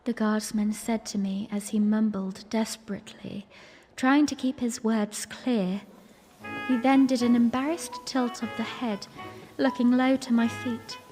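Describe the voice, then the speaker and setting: Woman as a high-voiced princess in long reverb. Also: fairly even intonation, unhurried, dark tone, soft background music, close to an everyday voice. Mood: puzzled, storytelling. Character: young princess, excitable, wondering, recounting her memory. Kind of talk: dramatic first-person audio drama narration.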